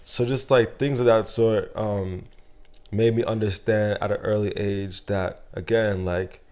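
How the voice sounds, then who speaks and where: A young man with a very deep voice that barely rises or falls speaks as an interview guest. He talks softly and thoughtfully, trailing off and restarting as he gathers his thoughts. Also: steady tone, measured pace. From Nigeria. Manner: soft-spoken, reflective, many fillers and restarts. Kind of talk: casual interview conversation.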